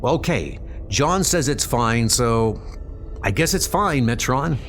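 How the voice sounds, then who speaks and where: A man's voice: mid-range voice, expressive, slow pace, over music. A man voicing a young superhero in a comic book dub, flustered, impatient and indignant, with an agitated colloquial delivery. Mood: exasperated.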